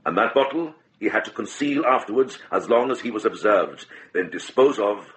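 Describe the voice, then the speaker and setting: An actor playing a shrewd, compassionate middle-aged monk in a radio drama, speaking with grave, measured deliberation. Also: male voice, on the low side, animated, fairly bright tone, poor audio. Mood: grave, accusing.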